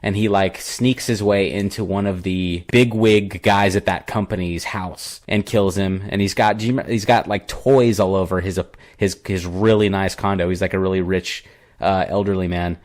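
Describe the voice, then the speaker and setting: Young male podcast co-host, low voice, casual and filler-heavy. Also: steady tone, normal speed, darkish tone. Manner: rambling, filler-heavy retelling. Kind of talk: casual movie review chat.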